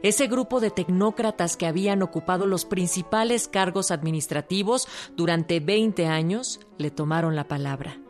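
Narradora mexicana, voz algo grave. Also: female voice, on the low side, moderate pace, hardly any pauses, darkish tone, soft background music. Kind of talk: scripted historical documentary narration.

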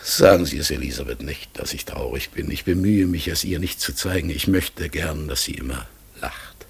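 An actor playing a melancholy, pondering raven in a radio play, halting and brooding, with a husky, gravelly voice. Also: male voice, very deep voice, full character voice. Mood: sad, tender. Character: melancholy, pondering raven in human life, gentle and troubled. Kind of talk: German radio play acting.

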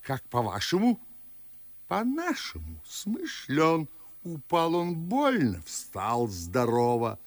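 An actor playing a pompous, excitable old Russian nobleman in a verse radio play, speaking in a gravelly, husky voice and savouring his words. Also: a little high, slow, no room sound, full character voice. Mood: boastful, reminiscing. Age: older. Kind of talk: theatrical verse radio drama.

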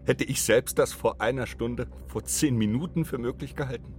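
An actor playing a restless, inquisitive doctor in a radio play, questioning in an urgent, brooding way. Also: male voice, mid-range voice, moderate pace, over loud music, lightly acted. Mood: uneasy, brooding. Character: restless, inquisitive doctor drawn to forbidden adventure.

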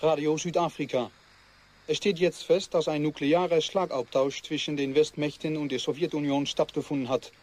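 An actor playing a solemn, formal radio station announcer in a German radio drama. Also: male voice, on the high side, level tone, moderate pace, a little mellow, natural voice. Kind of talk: radio drama news bulletin.

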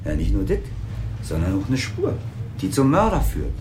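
Sardonic small-town cop, colloquial and teasing, animated intonation, radio drama with clearly noisy ambience. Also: male voice, lowish voice, moderate pace. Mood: dry, sardonic. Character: sardonic, gossipy small-town police officer. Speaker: police officer. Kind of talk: naturalistic radio drama dialogue.

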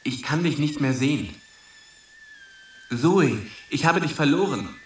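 An actor playing a dreamy, searching boyfriend, musing and puzzled in a poetic radio drama. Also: male voice, fairly high pitch, animated, measured pace, a little hiss or hum. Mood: searching, lost.

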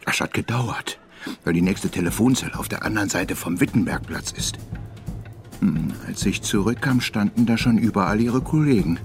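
Guy acting a rattled witness, kinda low subdued voice, music under it, radio play. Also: moderate pace, lightly acted. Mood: shaken, subdued. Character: shaken male eyewitness giving a hesitant account. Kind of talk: German radio drama acting.

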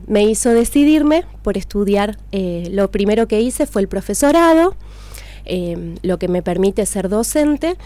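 A woman's voice: mid-range voice, mellow. An Argentine woman giving chatty, detailed answers in a conversational interview as a teacher. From Argentina, raised in Buenos Aires and Córdoba province.

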